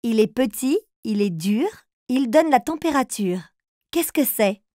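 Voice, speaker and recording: A woman playing an encouraging grown-up who puts riddles to small children in a playful, clearly spoken way. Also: fairly high pitch, expressive, a little slow, warm tone, no echo. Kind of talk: children's riddle game narration.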